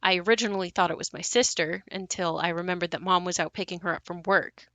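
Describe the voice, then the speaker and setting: An actress plays a young woman narrating memories of a haunted house in an audio drama. Her voice is clear-edged and bright, recorded close and dry. She confides in a matter-of-fact, wry and reflective way. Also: fairly low pitch, steady tone, fast, no echo. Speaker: narrator and lead of an audio play. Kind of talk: first-person dramatic monologue.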